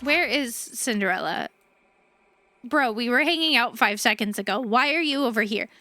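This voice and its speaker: A woman streamer chatting casually with her audience while gaming, bubbly and sweet, her voice going hoarse. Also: fairly high pitch, expressive, normal speed, sharp tone, slight background noise. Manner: bubbly and sweet, thanking chat.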